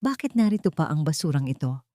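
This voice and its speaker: A woman's voice: low voice, sing-song, mellow, no room sound, studio quality. Woman playing a cold, commanding Filipino male CEO. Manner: cold, authoritative, deliberate.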